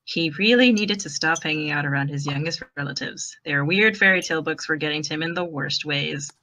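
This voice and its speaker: A woman's voice: low-pitched, fairly quick, sharp tone. A woman reading fan fiction aloud as an amateur narrator, in a wry, informal storytelling manner.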